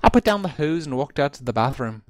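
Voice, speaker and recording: Theatrical man reading, swooping expressive pitch. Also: fast, no room sound. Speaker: amateur reader of a comic story. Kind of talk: dramatic read-aloud of a humorous first-person story. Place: recorded over an online voice chat.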